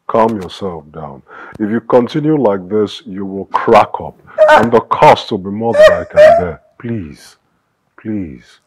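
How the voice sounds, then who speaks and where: An actor playing a husband gently pleading with his weeping wife to calm down. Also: low-pitched, slight background noise, rough recording. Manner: soothing, pleading, gentle hums. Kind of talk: film drama acting.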